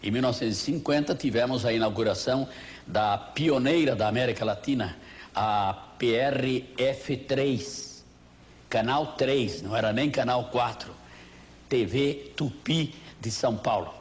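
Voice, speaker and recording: An older man with a Brazilian accent, a veteran TV reporter, reminiscing in a formal, grandiloquent, measured style. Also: mid-range voice. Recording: faint noise, old TV broadcast tape.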